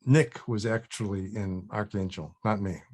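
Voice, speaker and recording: A man's voice: on the low side, fairly even intonation, measured pace, darkish tone. An actor at a table read playing a wry, wary older male actor with a dry, hesitant delivery. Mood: polite, dry.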